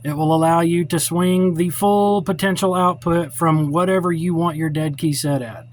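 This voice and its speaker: Man, middle-aged, somewhat high voice, blunt, informal tutorial delivery, unscripted, light background noise, cell phone audio. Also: fairly even intonation, normal speed. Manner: blunt, opinionated, plainspoken. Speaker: radio hobbyist giving a tutorial. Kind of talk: informal unscripted how-to demonstration. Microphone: cell phone held or set up while filming. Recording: noisy cell phone recording.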